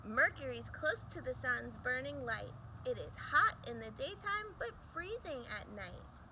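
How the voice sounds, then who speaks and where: A woman reading a rhyming picture book aloud for children in a sing-song, emphatic way with deliberate pauses. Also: high-pitched, lively intonation, noisy background.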